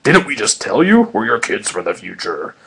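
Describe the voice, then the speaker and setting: A man's voice: lowish voice, wildly swooping pitch, normal speed, creaky, fairly bright tone, breathy edge, voice pushed down, heavily acted. Man doing sassy young girl cartoon raccoon. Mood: exasperated.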